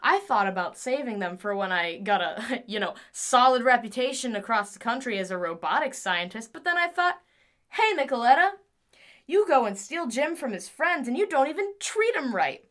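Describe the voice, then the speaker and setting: Audio drama role, for a woman: eccentric female scientist, proud and obsessive, formal wording, raised pitch with lively swings. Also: moderate pace. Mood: coaxing, giddy. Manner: formal clinical diction breaking into pleading outbursts. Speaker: voice actor. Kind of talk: audio drama monologue as recorded research notes.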